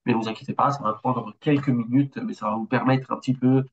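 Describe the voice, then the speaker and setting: A man in his forties from Italy with an Italian accent, a bit ill, presenting a webinar as a consultant and speaking haltingly with many hesitations. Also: medium pitch, fairly even intonation, highs cut off, slight background noise. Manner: halting, with frequent hesitations and restarts. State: slightly ill.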